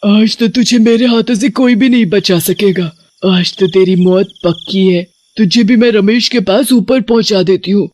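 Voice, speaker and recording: A woman voicing a vengeful young witch ghost who threatens and taunts in an animated horror story. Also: medium pitch, normal speed, a little hiss or hum, close to an everyday voice. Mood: menacing.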